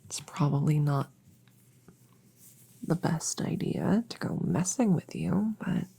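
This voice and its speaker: A hushed, breathy witch vet, played by a woman, talks slowly and softly in an ASMR audio roleplay. Her low voice creaks as she gently reassures and teases, chatty and a little goofy, often slipping toward a whisper. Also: full character voice. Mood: casual, explaining. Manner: gentle, chatty, halting, teasing.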